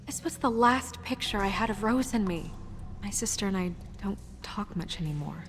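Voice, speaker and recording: An actress voicing a serious young official in a game, firm and independent. She has a medium-pitched, earnest, measured delivery with faint music behind her. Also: expressive, lightly acted. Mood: troubled. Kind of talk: video game voice acting.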